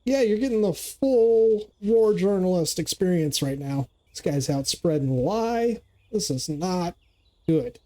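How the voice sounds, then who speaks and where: A man's voice: high voice, animated, slow pace, dark tone. An older man hosting a movie reaction, cracking profane jokes and talking back at the screen. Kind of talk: casual movie reaction commentary.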